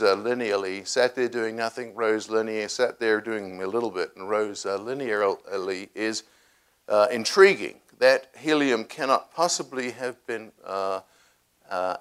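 A man giving a public science talk, explaining his experiments with many pauses, fillers and restarts. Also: fairly low pitch, a bit bright. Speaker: research scientist presenting a talk. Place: cafe or hall at a public science event.

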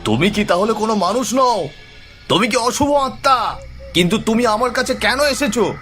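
A man's voice: animated, moderate pace, pushed and bright, faint music behind. A man voicing a frightened villager in a cartoon horror story, anxious, urgent and pleading. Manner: high-pitched, urgent, pleading.

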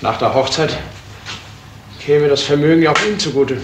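Man as worried gentleman, earnest, fairly high voice, old radio drama, clear background noise. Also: normal speed, natural voice. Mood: troubled. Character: worried, loyal gentleman friend of the detective. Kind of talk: 1970s German radio crime drama acting. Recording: roomy, noisy vintage radio recording.

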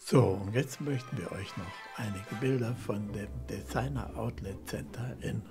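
A man's voice: lowish voice, normal speed. A man narrating a travel vlog in a plain, chatty, unpolished way. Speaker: camper van travel vlogger. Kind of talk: narrated travel video commentary. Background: music.

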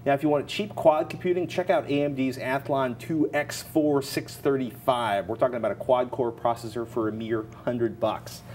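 A man's voice: medium pitch, fairly even intonation, normal speed, hardly any pauses, darkish tone, a little hiss or hum. A middle-aged American man co-hosting a tech show at a studio desk, presenting and giving advice casually.

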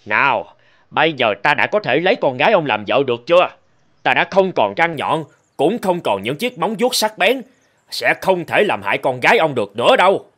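Cartoon lion, proud, gullible, boastful, demanding, very lively intonation. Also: male voice, a little high, moderate pace. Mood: eager, confident. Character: proud, lovestruck, gullible male lion suitor. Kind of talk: cartoon fable acting.

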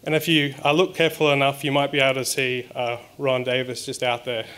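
A man's voice: medium pitch, level tone, a little fast, noisy background. A man in his thirties with an Australian accent, giving a conversational conference talk with frequent ums and restarts. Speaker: research scientist presenting at a symposium. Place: conference hall stage.

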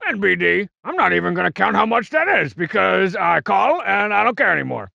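A man voicing a cocky, scheming cartoon masked wrestler for a comedic video game, with a gravelly, strained rasp and a bragging, sarcastic, mock-cool manner. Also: high-pitched, very animated, fairly quick, sharp tone, no echo, muffled recording, heavily acted. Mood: cocky, excited.

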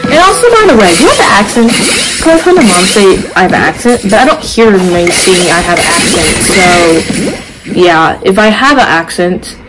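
A woman from Ohio chats loosely through a gaming session, her voice at an ordinary middle pitch. She rambles and grumbles at the game between thoughts. Loud game music plays under her webcam recording. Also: sing-song, normal speed, low-quality recording. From Ohio, USA. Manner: chatty, rambling, exasperated at the game. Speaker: gaming channel host. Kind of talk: casual game commentary. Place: small indoor room.

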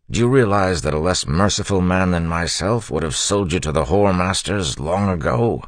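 A man's voice: very deep voice, no room sound, studio quality, pitched above the performer's own voice. A man voicing a fat, arrogant, cruel male crew leader who talks in a dismissive, sneering way. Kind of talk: audiobook character voice.

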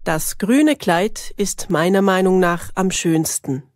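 Slow, clearly spoken woman narrator. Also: on the low side, no room sound. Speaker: language lesson narrator. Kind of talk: slow, clearly articulated example sentences, each said twice.

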